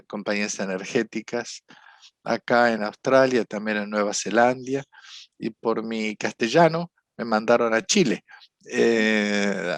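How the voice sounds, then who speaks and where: A middle-aged man talks through his ideas in a slow, searching way, looping back on his phrases. His voice sits at an ordinary pitch and is recorded dry, with no room sound around it. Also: dry recording. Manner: thoughtful, rambling, frequent "¿no?" tags. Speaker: interview guest, psychodramatist. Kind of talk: reflective conversational interview.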